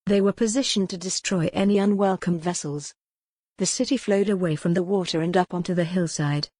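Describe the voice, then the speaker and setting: A woman narrating a historical romance audiobook in a measured, careful storytelling manner. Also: lowish voice, mellow, dry recording.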